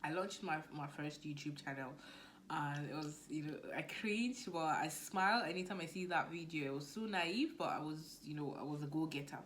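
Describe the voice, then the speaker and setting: A young woman with a Nigerian accent talking casually to camera as a business coach, lively and now and then dropping to a near-whisper. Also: sits low, normal speed, slight background noise. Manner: chatty, animated, drops to near-whisper asides.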